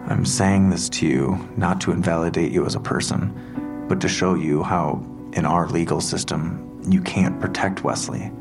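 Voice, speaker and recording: An actor playing a calm, blunt police detective who questions someone in a measured, grave manner. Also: male voice, low-pitched, creaky. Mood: blunt, controlled. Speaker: police detective in an audio drama. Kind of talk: dramatic interrogation dialogue. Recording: music under the voice.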